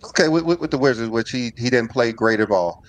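A man's voice: mid-range voice, animated, fast, no echo, low-quality recording. A man hosting a debate on a video call, pressing with probing questions and cutting in by repeating himself. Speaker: host. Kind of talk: debate moderation.

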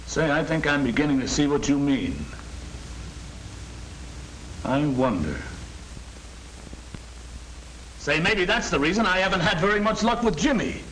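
An actor playing an earnest middle-aged American sales manager in a 1940 training film, speaking in a firm, instructive way. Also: male voice, fairly high pitch, expressive, normal speed, long pauses, fairly bright tone. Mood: reflective, earnest. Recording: noisy old 1940 film soundtrack.

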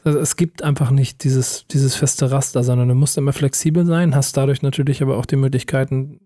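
A man's voice: medium pitch, steady tone, a little fast, dark tone, dry recording, studio quality. A man hosting a podcast, talking in a casual, conversational interview style. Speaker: podcast host.

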